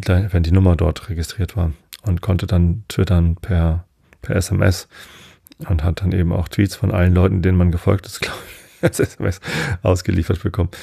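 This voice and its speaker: A man's voice: low-pitched, gravelly, warm tone, husky, no echo, clean studio sound. A middle-aged man from Northern Germany hosting a sleep podcast, meandering through his thoughts with many fillers and digressions. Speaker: podcast host. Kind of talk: rambling sleep podcast monologue.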